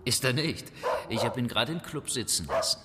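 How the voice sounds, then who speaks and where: An actor playing a threatening, hot-tempered Harlem hustler in a radio crime drama. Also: male voice, mid-range voice, animated, a little fast, very noisy, lightly acted. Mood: menacing. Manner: pushy, bullying, bursts into shouting. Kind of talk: radio play acting.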